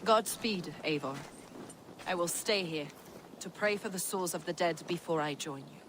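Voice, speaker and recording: Low-voiced, earnest religious scholar played by a woman. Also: heavy background noise, close to an everyday voice. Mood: solemn. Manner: measured, didactic, philosophical. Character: earnest, learned religious woman, zealous theologian. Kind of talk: video game cutscene acting.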